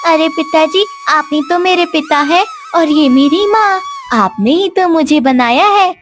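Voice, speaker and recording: A woman's voice: very high-pitched, a little slow, soft background music, voice pushed up. A woman voicing a sweet, innocent, trusting little girl in a cartoon story. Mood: eager. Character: sweet innocent little girl, clay doll come alive.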